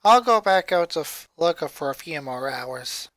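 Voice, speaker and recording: A woman voicing a worried, tired and gentle mother in a story reading. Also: low voice, animated, a little slow, dry recording. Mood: weary, soothing.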